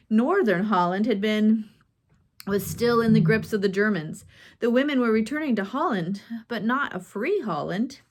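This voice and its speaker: A woman reading a chapter of a biography aloud as narrator, lifting her voice for quoted lines. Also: on the high side. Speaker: audiobook reader. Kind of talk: scripted narration.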